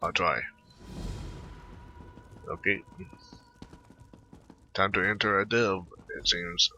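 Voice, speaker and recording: A man doing casual video game commentary and reading dialogue aloud, halting and muttering to himself. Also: deep voice, bright, forceful tone, lo-fi sound, faint music behind. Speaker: gamer recording a playthrough.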